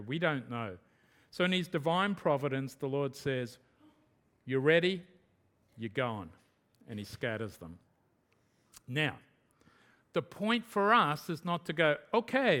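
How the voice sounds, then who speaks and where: A man with an Australian accent preaching an expository sermon as pastor, earnest and emphatic, repeating his key points slowly for the congregation. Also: on the high side, fairly bright tone.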